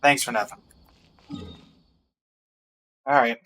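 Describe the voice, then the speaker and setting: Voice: mid-range voice, level tone, takes it slowly, a bit bright. A game streamer making brief, offhand remarks in casual reaction commentary.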